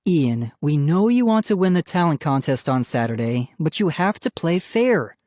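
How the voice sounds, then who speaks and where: Lady doing a fed up mom telling kids off in an audiobook, firm and very animated. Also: low-pitched, moderate pace, dry recording, clean studio sound, voice pushed up. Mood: firm scolding. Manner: firm, scolding. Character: exasperated mother scolding her squabbling children. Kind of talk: children's audiobook character.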